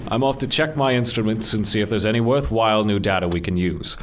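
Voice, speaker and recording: An actor playing a scholarly male scientist, speaking in a precise, deadpan, pedantic way in an audio drama. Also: fairly low pitch, quick pace, lightly acted. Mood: dry, analytical. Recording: noisy street traffic ambience.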